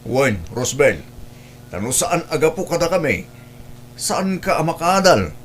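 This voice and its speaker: A man's voice: fairly high pitch, very animated, unhurried. A man with an Ilocano Filipino accent playing a proud, easily disappointed father in a family audio drama. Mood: scolding. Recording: clear background noise with hum.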